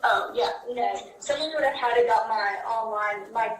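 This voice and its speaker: An American woman in a casual phone conversation. Her voice is fairly high, and it comes through with clear echo, as if played on a speakerphone. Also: normal speed. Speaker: cardholder reporting fraud. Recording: echoey speakerphone call.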